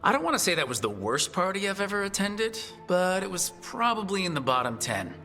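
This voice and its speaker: A man acting a steady, wry, supportive adventurer hero in a video game, talking conversationally. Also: high voice, brisk, soft background music, full character voice. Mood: wry, teasing.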